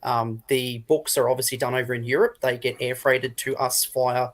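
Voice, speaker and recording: A man's voice: medium pitch, little pitch movement, moderate pace. Chatty Australian man, casual guest. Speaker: guest, games retailer. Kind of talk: casual livestream interview.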